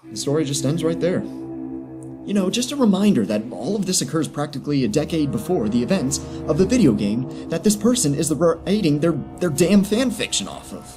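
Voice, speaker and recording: A man's voice: fairly high pitch, very animated, brisk. A man giving sarcastic, exasperated commentary as he narrates and critiques a story. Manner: sardonic, exasperated. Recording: music behind the voice.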